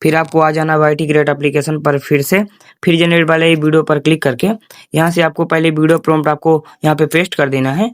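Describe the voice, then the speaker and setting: Higher-pitched, even-toned male tutorial host. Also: fairly quick, no echo, studio quality. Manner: repetitive instructional phrasing, addresses viewers as friends. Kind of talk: informal step-by-step screen tutorial.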